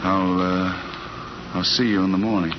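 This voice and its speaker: An actor playing an exasperated, loyal bachelor friend, emphatic and stammering, in 1940s radio comedy style. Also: male voice, sits low, level tone, unhurried. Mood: flustered. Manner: emphatic, stammering when flustered. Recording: muffled, noisy 1940s radio recording.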